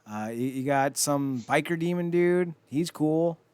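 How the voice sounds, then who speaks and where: A man's voice: fairly high pitch, unhurried, a little mellow, smooth, dry recording. A man co-hosting a podcast in casual chat, informal and slangy, with short, simple opinions. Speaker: podcast co-host.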